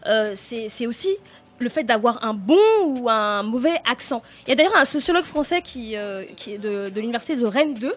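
Moderating woman, slightly high voice. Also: lively intonation, fairly quick, fairly bright tone, breathy edge. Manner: hesitant, many restarts, asks questions. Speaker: podcast host and moderator. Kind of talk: casual panel discussion.

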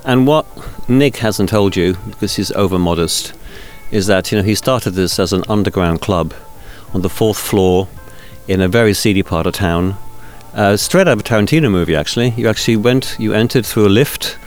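A man's voice: fairly low pitch, normal speed, faint music behind. A Swiss man being interviewed as a guest, chatty and anecdotal, telling stories with many asides. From Switzerland. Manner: chatty, anecdotal, with fillers and restarts. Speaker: radio festival organizer, guest. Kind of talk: interview conversation.

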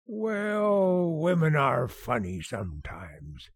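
Actor as a husky, high-pitched, blustery older boss. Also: male voice, huge pitch swings, takes it slowly, no room sound, clean studio sound, exaggerated voice. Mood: avuncular, amused. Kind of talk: old-time radio sitcom acting.

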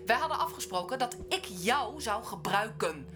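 A woman's voice: fairly high pitch, big pitch swings, moderate pace. An actress playing a defiant woman in her twenties, hurt and combative, in an audio drama. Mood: angry, accusing. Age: 20s.

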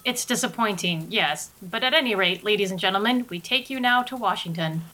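A woman's voice: medium pitch, fairly quick, natural voice. A woman playing a flustered, blunt female news announcer in a 1930s radio spoof, emphatic and indignant, on a noisy recording. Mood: flustered, formal. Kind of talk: 1930s radio broadcast parody acting.

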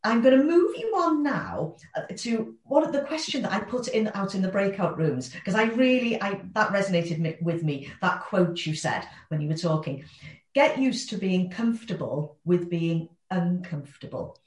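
A woman's voice: mid-range voice, lively intonation, normal speed, roomy sound. A woman hosting an online conference Q&A, chatty and friendly, dropping in Welsh phrases. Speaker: event host. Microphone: video call.

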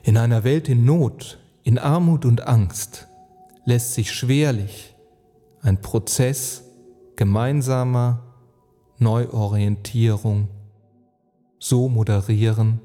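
Man, very mellow dark voice, long hall reverb, slow formal academic narration, music underneath. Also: fairly low pitch. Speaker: narrator giving an essay on climate. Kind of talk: measured scripted monologue in an audio play.

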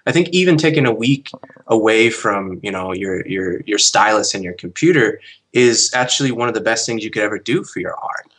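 A man in his late twenties from the United States, a guest on an interview podcast, calm with dry jokes. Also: lowish voice, a little fast, low-quality recording. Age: 20s. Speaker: guest artist. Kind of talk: casual interview chat.

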